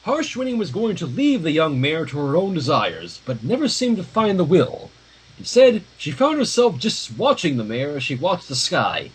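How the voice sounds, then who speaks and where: A man's voice: fairly high pitch, huge pitch swings, a little slow, breathy edge, rough recording. A man reading a story aloud informally on a livestream, dropping in casual asides. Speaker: livestream fan fiction reader.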